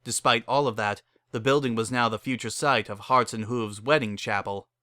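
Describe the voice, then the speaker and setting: A man narrates a story in a dramatic reading style. His voice is mid-pitched, bright and forceful, recorded dry without room sound. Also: moderate pace, no echo.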